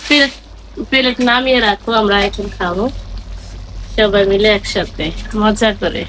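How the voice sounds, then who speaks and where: A woman's voice: medium pitch, moderate pace, fairly bright tone. A young adult woman giving casual, colloquial step-by-step narration as a home cook. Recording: noisy ambient background.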